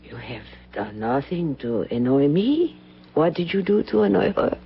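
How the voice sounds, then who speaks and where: A woman's voice: deep voice, sing-song, a little slow, breathy edge, full character voice. An actress playing a strange, sensual older lady of the house in an old-time radio drama, drowsy and languid. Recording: muffled lo-fi old radio, hum.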